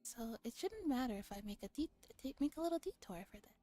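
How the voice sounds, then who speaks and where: A woman's voice: a little high, animated, quick pace, dark tone. A woman voicing a self-important mad scientist, reading his thoughts theatrically from a visual novel. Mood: musing. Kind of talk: visual novel read-aloud.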